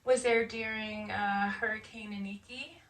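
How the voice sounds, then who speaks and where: Middle aged lady chatting about old times, bit creaky voice, faint noise behind. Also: medium pitch, fairly even intonation, a little slow, fairly bright tone. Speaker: veteran being interviewed. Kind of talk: casual recollection. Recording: distant mic with faint background noise.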